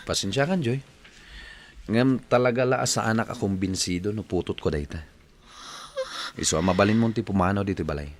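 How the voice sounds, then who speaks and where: An actor playing a cocky, breezy young playboy son in a radio drama, with an Ilocano Filipino accent. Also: male voice, on the low side, moderate pace, long pauses, lightly acted. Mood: dismissive.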